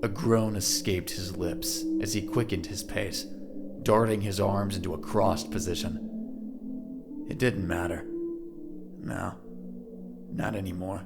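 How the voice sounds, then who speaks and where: A man's voice: on the low side, vocal fry. A man narrating a scripted audio drama in a dramatic storytelling style.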